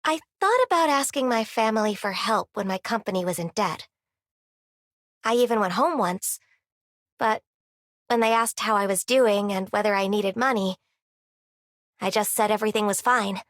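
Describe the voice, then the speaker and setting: A woman's voice: mid-range voice, normal speed, long pauses, a bit bright, no echo, clean studio sound, lightly acted. An actress voicing an earnest, self-reliant, wistful young woman in a video game. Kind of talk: scripted game character lines.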